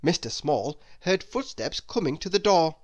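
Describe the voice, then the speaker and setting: Animated children's storybook narrator. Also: medium pitch. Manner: expressive, measured storytelling. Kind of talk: children's read-aloud narration.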